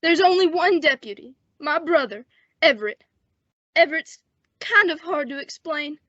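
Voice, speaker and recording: A wounded western lawman, played by a young woman, speaks in a high, strained voice. He is resigned and folksy, in a radio play with a dry, dulled sound. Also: expressive, moderate pace, pushed and bright, no echo. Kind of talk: old-time western radio drama acting. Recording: dull, dry lo-fi radio recording.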